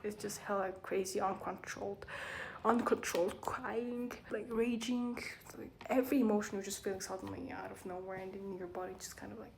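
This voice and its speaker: A woman's voice: medium pitch, steady tone, brisk, gravelly, slight background noise, rough recording. A young woman with a Mongolian accent, a native Mongolian speaker, casually reading aloud and commenting in a rambling, self-correcting way as a vlogger. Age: young adult.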